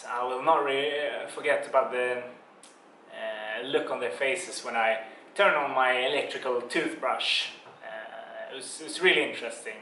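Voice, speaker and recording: Relaxed Swedish man in his twenties. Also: medium pitch, normal speed, reverberant, a little hiss or hum, rough recording. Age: 20s. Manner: relaxed, dry teasing humor, hesitant fillers. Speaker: travel vlogger. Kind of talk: casual travel vlog chat. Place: holiday apartment in Bali.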